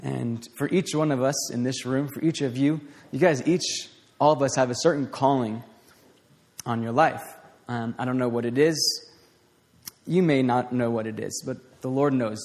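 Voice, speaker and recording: A man with an ordinary mid-range voice preaches in an easy, conversational way, often restarting and trailing off into fillers. His voice has a slight rasp from talking. The sound carries a long reverb, as if he is in a hall. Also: medium pitch, normal speed, darkish tone. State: voice getting raspy. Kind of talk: informal sermon.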